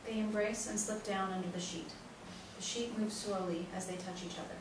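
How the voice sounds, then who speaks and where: A woman's voice: medium pitch, steady tone, a little fast. A woman narrator reading out the stage directions of a play as scripted narration. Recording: very echoey, distant, noisy room recording.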